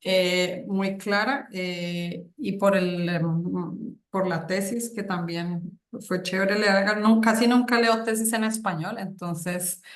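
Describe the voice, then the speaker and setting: A woman's voice: mid-range voice, fairly even intonation, slow, few pauses. A woman on a thesis jury asking questions, hesitant and full of fillers and restarts. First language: Spanish. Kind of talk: academic questioning at a thesis defense. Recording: echoey room.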